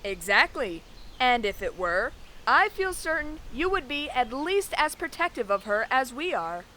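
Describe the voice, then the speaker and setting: A woman's voice: high-pitched, big pitch swings, unhurried, sharp tone, slight background noise, full character voice. A woman playing a protective, wry female knight who guards a princess in a fantasy audio drama.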